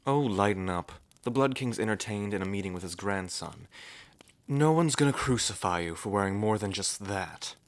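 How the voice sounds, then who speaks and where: An easygoing dragon archmage, played by a man who mocks himself with a wry edge. His voice stays low. The recording sounds dry and close. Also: expressive, moderate pace, no room sound. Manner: wry, self-deprecating, easygoing. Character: wry, gentle male dragon archmage and royal advisor.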